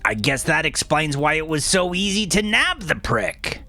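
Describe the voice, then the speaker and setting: Man acting a cranky old crook, high-pitched. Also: sing-song, moderate pace, pushed and bright, a little hiss or hum, full character voice. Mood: exasperated. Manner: gruff, profane, exclamatory. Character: cranky foul-mouthed old male crook, aching knees.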